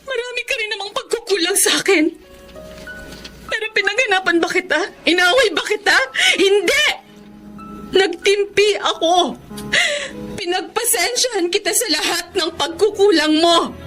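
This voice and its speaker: High-pitched, anguished betrayed Filipino wife. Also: female voice, moderate pace, a bit bright. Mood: bitter, anguished. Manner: tearful, confrontational, emotionally heightened. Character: betrayed middle-aged wife, anguished and accusing. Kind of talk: Filipino radio drama acting. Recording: dramatic music behind the voice.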